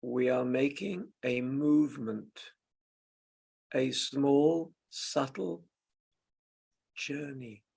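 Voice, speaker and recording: A man leads a guided meditation at a very slow pace. His voice is heavily gravelled with fry. He gives gentle instructions in short, halting phrases, leaving long pauses between them. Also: mid-range voice. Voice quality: gravelly, husky. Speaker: meditation teacher.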